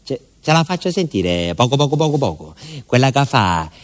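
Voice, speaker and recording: A man acts out a simple southern Italian village postman in a radio drama. He has a medium-pitched voice and a folksy, confiding way of telling things, full of hesitations and repeated words, naive and wistful. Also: sing-song, normal speed, close to an everyday voice. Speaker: actor in a monologue. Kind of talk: theatrical radio monologue in colloquial storytelling.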